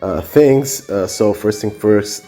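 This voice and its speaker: A man's voice: lowish voice, slow, warm tone, soft background music. Italian-accented man talking with many fillers. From Italy. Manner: hesitant, many fillers and restarts. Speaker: podcast host. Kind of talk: unscripted solo podcast talk. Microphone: cell phone.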